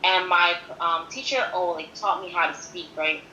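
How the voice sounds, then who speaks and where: A woman in her twenties gives an earnest, conversational account of herself as an interview guest. Her voice is slightly low and very bright, and she restates phrases to drive them home. The room echoes around her, with faint noise behind. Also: fairly even intonation, moderate pace, dull audio. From born in Haiti, grew up in New York City from age five. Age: 20s. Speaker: podcast guest. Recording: roomy echo with faint background noise.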